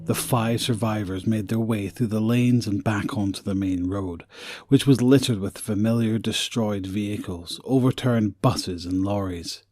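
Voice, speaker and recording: A man's voice: on the low side, a little slow, hardly any pauses, darkish tone, no echo, studio quality. A man reading scripted story narration for an audio drama.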